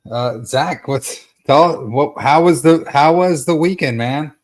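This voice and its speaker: A man's voice: a little high, slow. A man speaking informally and with slang as he hosts a casual live hangout. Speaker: live show host. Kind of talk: casual livestream chat.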